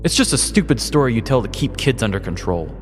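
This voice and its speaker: A man's voice: medium pitch, big pitch swings, quick pace, soft background music, natural voice. A man voicing a skeptical thirteen-year-old boy in a comic story. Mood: defiant.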